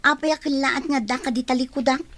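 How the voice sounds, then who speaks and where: A woman's voice: high voice, fairly even intonation, fast. A young woman playing a shy, wistful girl hiding an unrequited love, in a radio drama.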